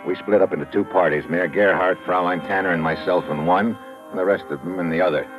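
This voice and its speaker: An actor playing a brisk, shrewd investigator with an American accent and a clipped, informal manner in an old radio drama. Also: male voice, sits low, fairly even intonation, music in the background, close to an everyday voice. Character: brisk, hard-nosed male investigator, casual and shrewd. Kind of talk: vintage radio drama acting with narration. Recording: muffled, faintly noisy old radio recording.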